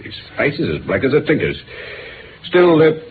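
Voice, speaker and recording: An actor playing a genial, obliging police inspector in a 1950s radio drama, bluff and chatty, with a British accent. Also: male voice, on the high side, very animated, moderate pace. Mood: chatty, scornful. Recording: noisy, narrow-band old radio recording.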